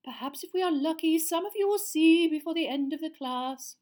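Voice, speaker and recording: A woman doing a dreamy, breathy fortune-telling teacher. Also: sits high, a little mellow, pitched above the performer's own voice, natural voice. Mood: dreamy mystic. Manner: slow, breathy, theatrical murmuring. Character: dreamy, mystical older female divination teacher.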